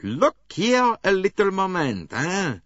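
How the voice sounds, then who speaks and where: A man's voice: high-pitched, huge pitch swings, takes it slowly, fairly bright tone, dry recording, dull audio, pitched above the performer's own voice, exaggerated voice. A man reading an audiobook and voicing a fussy, shrewd older male Belgian detective with precise, courteous phrasing.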